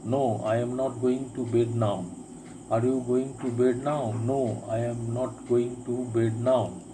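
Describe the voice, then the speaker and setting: A man teaching a spoken lesson, reading each drill sentence twice with deliberate care. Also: medium pitch, level tone, noisy background. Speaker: English teacher. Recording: crickets and insects chirping behind.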